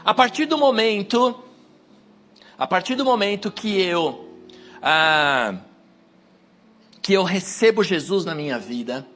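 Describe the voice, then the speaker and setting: Hoarse guy preaching into a handheld mic, kinda high voice, soft music underneath. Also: expressive, takes it slowly, long pauses. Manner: exhorting the congregation, rising to emphatic peaks. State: hoarse with a cold. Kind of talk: sermon. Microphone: wireless handheld mic.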